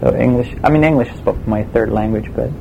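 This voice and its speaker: A man with a Swiss German accent, a game production designer answering interview questions in a relaxed, hesitant way with many fillers. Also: medium pitch, fast talker, vocal fry, phone-quality sound, noisy background. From Switzerland.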